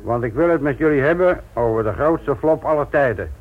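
An actor playing a blunt, sardonic, middle-aged police inspector lecturing trainees in a Dutch radio play. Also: male voice, mid-range voice, animated, brisk, lightly acted. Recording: tinny, noisy old radio play recording.

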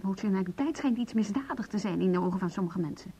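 A woman playing a bitter estranged wife in a radio drama, husky, clipped and sarcastic, holding back her emotions. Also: medium pitch, animated, quick pace, mellow. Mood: wounded, restrained. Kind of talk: Dutch radio drama acting.

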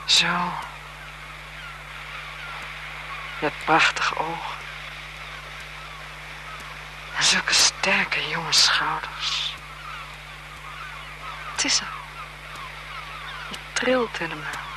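Haughty, secretly seductive noblewoman, poised and evasive, lively intonation, vintage radio drama with noisy sound. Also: deep voice, slow, pauses often. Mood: seductive, intimate. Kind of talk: 1970s Dutch radio drama acting.

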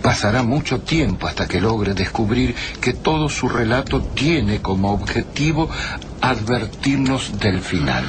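Actor as slow, reflective man. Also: mid-range voice, expressive, natural voice. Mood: pensive. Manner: measured, reflective. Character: reflective, troubled male listener to a time traveller's tale. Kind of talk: radio drama monologue. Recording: noisy background ambience.

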